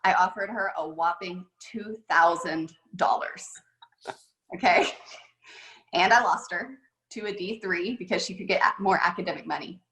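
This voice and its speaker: A woman's voice: fairly low pitch, normal speed, bright, forceful tone. A woman head golf coach telling stories in a casual, chatty way with many fillers, over a video call. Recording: echoey room on a video call.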